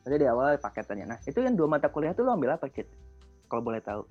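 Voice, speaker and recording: A young man with a Jakarta Indonesian accent hosts a casual chat, putting questions in slangy, informal language. His pitch is middling. The sound is dull and lo-fi, with light music behind. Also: big pitch swings, rapid-fire. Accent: Indonesian, Jakarta colloquial. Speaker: interview host.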